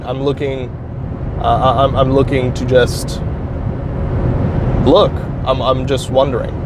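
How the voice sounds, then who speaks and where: A man from Turkey hosting his own channel, talking through an idea in a slow, reflective monologue with frequent pauses and restarts. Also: medium pitch. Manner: halting, thoughtful, frequent restarts. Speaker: channel host. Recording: clear traffic noise outdoors.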